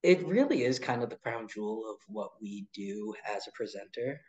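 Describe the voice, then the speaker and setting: A man in his thirties, an arts director, answering interview questions in a relaxed way with many ums and uhs. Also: on the low side, moderate pace, rough recording. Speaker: arts nonprofit director, guest.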